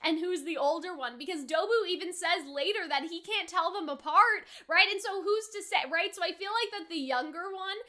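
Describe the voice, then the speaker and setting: A woman giving casual, rambling reaction commentary in an animated, chatty way. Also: very high-pitched, a little fast, few pauses. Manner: animated, chatty, acts out quoted lines. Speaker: host of anime reaction and review videos.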